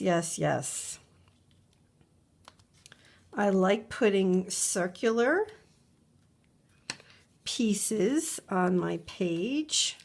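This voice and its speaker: A middle-aged woman talks slowly and easily as she crafts at her desk, thinking out loud and repeating little words to herself. Her voice carries a slight stuffiness from a cold, and her pitch is mid-range. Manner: chatty, musing aloud, repeats words to herself. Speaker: craft video host. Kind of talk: relaxed crafting tutorial narration. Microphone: overhead camera above a desk, hands only.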